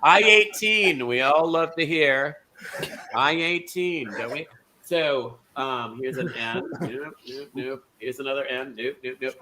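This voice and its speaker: A man hosting an online bingo show, teasing his guests with quick, campy asides. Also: medium pitch, crowd noise.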